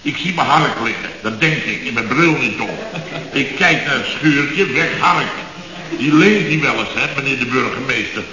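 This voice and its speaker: A man's voice: medium pitch, animated, normal speed, crowd noise, natural voice. An actor playing an indignant middle-aged company boss in a radio comedy, sarcastic and sputtering as he repeats himself. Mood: flustered. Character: blustering, indignant middle-aged Dutch building contractor boss. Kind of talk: 1970s radio comedy acting. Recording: dull, echoey old radio recording.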